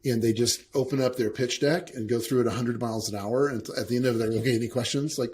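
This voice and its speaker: A man's voice: medium pitch, fairly even intonation, quick pace, darkish tone. A man hosting a podcast, speaking earnestly in casual conversation and often repeating words as he forms his thoughts. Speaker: podcast host.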